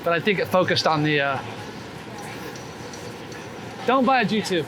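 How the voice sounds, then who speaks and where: High-voiced man chatting over street noise. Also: lively intonation, moderate pace. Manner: informal, profane, peppered with "like" and "man". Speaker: street photographer being interviewed. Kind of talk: casual, candid interview chat while walking. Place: outdoors on a city street. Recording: clear outdoor traffic and city noise.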